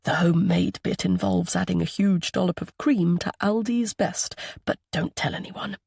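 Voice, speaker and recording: A genial older man, a gracious grandfather who likes to joke acted by a woman reading an audiobook. His delivery is animated and welcoming, in a close, dry recording. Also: medium pitch, a little slow, dry recording, pitched above the performer's own voice. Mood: playful. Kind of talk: audiobook character dialogue.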